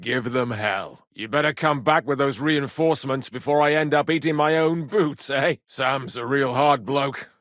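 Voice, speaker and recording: An actor playing a cheeky, good-humored medieval rogue and loyal younger brother in a video game, with colloquial, irreverent banter. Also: male voice, mid-range voice, moderate pace, few pauses, a bit bright, no echo, studio quality, natural voice. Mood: easygoing banter. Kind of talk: video game dialogue acting.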